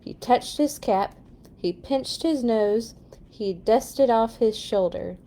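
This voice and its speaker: A woman reading a children's story aloud in an expressive, playful way for young listeners. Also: on the high side, takes it slowly. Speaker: children's read-along storyteller. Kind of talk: storybook read-aloud with animated asides.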